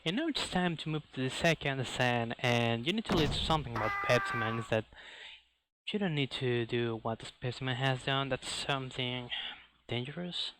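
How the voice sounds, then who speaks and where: Man commentating a speedrun over loud music. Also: medium pitch, lively intonation, moderate pace, low-quality recording. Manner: non-native English phrasing. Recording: game music behind the voice. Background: loud video game music.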